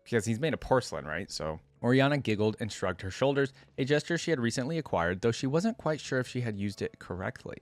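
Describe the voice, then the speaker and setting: A man's voice: mid-range voice, expressive, fast talker, few pauses, no room sound. A man hosting a reaction video, reading a story aloud and commenting on it casually.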